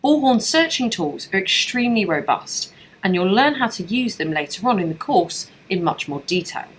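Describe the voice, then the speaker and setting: A woman narrating a scripted software tutorial as a trainer, explaining things step by step in an instructional way. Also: mid-range voice, very animated, moderate pace, a little hiss or hum.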